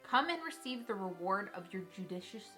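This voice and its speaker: Woman reading as a stern fairy, bright voice. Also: medium pitch, very animated, normal speed, faint music behind. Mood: solemn approval. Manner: formal, solemn, reading aloud. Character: stern, judging fairy pronouncing reward and punishment. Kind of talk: storybook reading.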